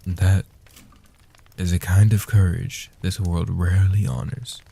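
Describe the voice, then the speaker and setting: A man playing an ancient, protective male vampire in a soft-spoken comfort roleplay, speaking in a breathy, hushed, deliberate and soothing way. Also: deep voice, flat delivery, heavily acted. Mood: tender, reassuring. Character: ancient male guardian vampire, protective, tender, world-weary.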